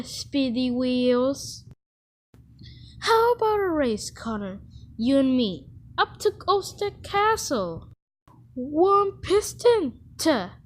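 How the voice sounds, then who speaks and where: A woman voicing a mischievous young twin tank engine, a cheeky, playful boy in an animated children's series. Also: high voice, heavily acted.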